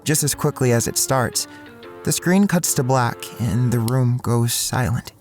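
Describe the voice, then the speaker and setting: A man's voice: mid-range voice, measured pace, darkish tone, close to an everyday voice. An actor playing an awkward, anxious young college man narrating an audio drama in first person, with music behind him. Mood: wry, observant. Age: young adult. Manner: hesitant, stammering in dialogue. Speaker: narrator and main character.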